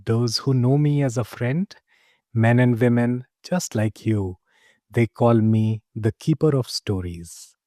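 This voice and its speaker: A man playing a genial, mysterious tavern keeper who tells fantasy tales in a deliberate, dramatic, confiding manner, as scripted first-person narration. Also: medium pitch, warm tone, dry recording, studio quality, close to an everyday voice. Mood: mysterious, inviting.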